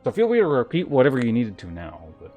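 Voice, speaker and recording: This is a man hosting a casual game stream, with music faintly playing behind him. He talks at a middling pitch and rambles as he thinks out loud. His delivery moves between chat and reading aloud, with wry asides along the way. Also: wildly swooping pitch, quick pace.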